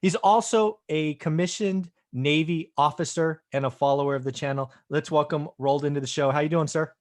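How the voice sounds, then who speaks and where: A man's voice: a little high, normal speed. A man hosting an interview show, upbeat and enthusiastic with his guest. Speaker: host.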